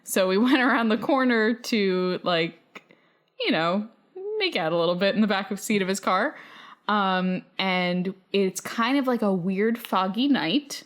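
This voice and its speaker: A woman's voice: mid-range voice, measured pace. A woman co-hosting a podcast, chatting and telling personal stories informally with droll reactions. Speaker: podcast co-host. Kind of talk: casual chat and personal storytelling.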